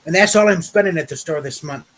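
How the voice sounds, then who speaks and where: Man, about 30, stream guest, hesitant and rambling, slightly high, faint background hiss. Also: fast talker, a bit bright. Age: 30s. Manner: hesitant, many fillers. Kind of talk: casual chat.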